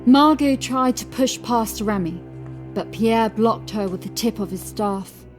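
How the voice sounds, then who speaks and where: A woman's voice: a little high, unhurried, soft background music. A woman narrating a scripted horror story in a dramatic audiobook style.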